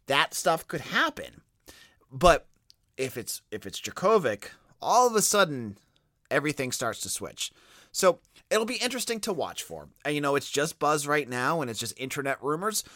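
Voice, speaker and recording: A man in his thirties with a Boston accent, hosting a sports podcast in a casual, animated way and thinking aloud with quick restarts. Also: medium pitch, no echo, studio quality. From Boston area. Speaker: podcast host.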